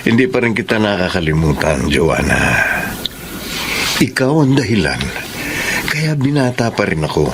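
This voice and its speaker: Lovesick Filipino leading man played by an actor, melodrama. Lowish, deliberate, swooping delivery, noisy ambience. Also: big pitch swings. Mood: insistent, lovesick. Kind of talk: melodramatic Filipino radio-style drama acting.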